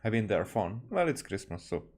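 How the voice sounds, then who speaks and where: A man giving casual commentary as a game streamer, musing in a halting way. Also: lowish voice, very animated, a little mellow. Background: faint game music.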